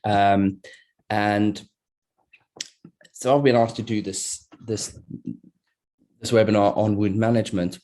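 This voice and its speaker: South African-born surgeon giving a webinar. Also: male voice, lowish voice, slow pace, pauses often. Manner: relaxed and conversational, many fillers and restarts. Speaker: plastic surgeon presenting a webinar. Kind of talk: live online lecture. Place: at work, speaking into a computer. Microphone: video call.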